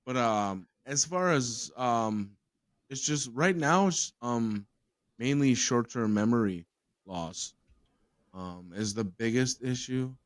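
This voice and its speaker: A man's voice: medium pitch, animated, takes it slowly, dry recording, clean studio sound. A man hosting a casual podcast chat who rambles, swears freely and laughs often. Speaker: podcast host.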